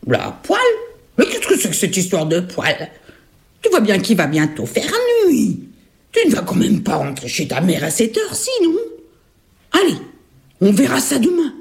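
A woman voicing a sly, greedy ogress in a children's story, with a big gruff voice and slow, menacing drawl. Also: mid-range voice, wildly swooping pitch, darkish tone. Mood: gruff, coaxing. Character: greedy, sly female ogress who devours grandmothers.

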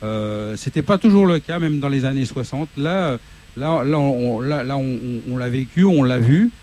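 A man's voice: mid-range voice, animated, normal speed, warm tone. Hesitant man interviewing on a studio set. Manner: hesitant, many fillers and restarts. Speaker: local TV show host.

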